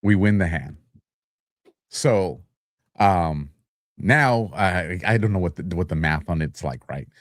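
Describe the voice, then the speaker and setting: A podcast co-host, a man with a lowish voice, rambles through his stories and pokes fun at himself along the way. The sound is dry and close, with no room echo. He talks casually, the way you would with friends. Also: expressive, takes it slowly, long pauses. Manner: rambling, self-deprecating, frequent restarts and laughs. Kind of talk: casual chat.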